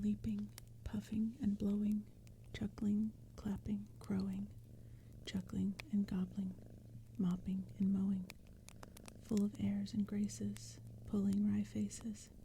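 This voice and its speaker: A woman whispers poetry in a hushed ASMR reading. Her breathy voice is very dark and mellow, with a flat, gentle melody and a slow, measured pace. A crackling fire and forest sounds play softly behind her. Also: mid-range voice. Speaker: narrator and reader.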